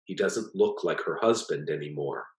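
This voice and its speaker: A man reading a literary short story aloud as its author, in a measured, plain storytelling delivery. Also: a bass voice, animated. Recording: echoey, roomy sound.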